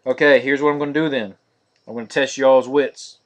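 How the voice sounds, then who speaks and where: Male card-break host, mid pitch, flat steady delivery, live-stream feel. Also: fairly quick. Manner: monotonous, steady listing of cards.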